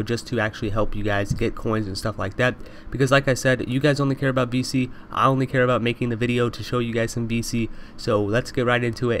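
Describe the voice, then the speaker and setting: Young man, congested, rapid-fire talk, faint noise behind, gaming voice-over. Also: mid-range voice. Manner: repetitive, addresses viewers constantly as "you guys". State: congested from allergies. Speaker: gaming YouTuber. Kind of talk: fast voice-over over gameplay.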